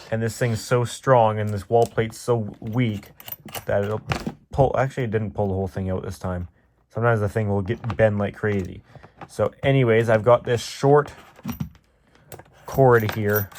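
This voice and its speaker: A man's voice: lowish voice, slight background noise. A man giving a casual, off-the-cuff explanation in a rambling, candid, self-deprecating way. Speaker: hobbyist video presenter.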